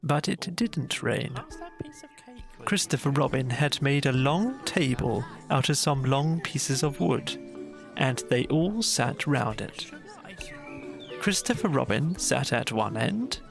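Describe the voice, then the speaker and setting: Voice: mid-range voice, vocal fry. A storyteller reading a scripted children's bedtime story as an audiobook narrator.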